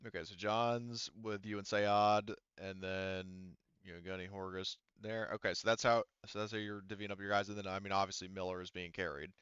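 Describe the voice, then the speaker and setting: A man's voice: low voice, flat delivery, normal speed, hardly any pauses, fairly bright tone, smooth, dry recording, muffled recording. A man running a tabletop roleplaying game as game master, talking casually, thinking aloud and swearing freely.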